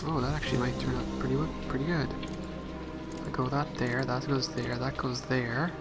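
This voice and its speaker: A man hosts a gameplay video in a casual, think-aloud style. His voice sits at a middle pitch. Music plays clearly behind him. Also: flat delivery, moderate pace. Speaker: let's play host.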